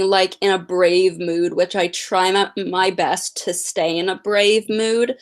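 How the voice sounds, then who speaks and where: A young woman in her late teens speaks with a stutter, repeating sounds and pausing on blocked words. She talks at an unhurried pace in a mid-range voice, casually answering viewers' questions on a livestream. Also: medium pitch. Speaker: livestream host.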